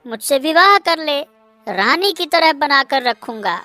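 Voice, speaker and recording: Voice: high-pitched, animated, a little slow, sharp tone, exaggerated voice. A performer voicing an arrogant young male suitor in a Hindi cartoon, condescending and boastful. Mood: smug, coaxing.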